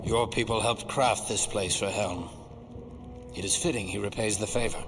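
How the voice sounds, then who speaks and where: An actor playing a calm, loyal, grave ranger, speaking in a measured, earnest way. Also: male voice, lowish voice, over music, natural voice. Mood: grave, gentle.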